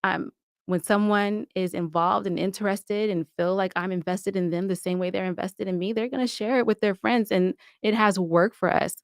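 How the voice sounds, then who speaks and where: A woman's voice: medium pitch, steady tone, moderate pace, smooth, no room sound, studio quality. A woman talking conversationally as a podcast guest, explaining things with enthusiasm and emphasis. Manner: enthusiastic, emphatic, explanatory, often checks with "right?". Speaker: podcast guest, audio drama producer. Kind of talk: conversational interview talk.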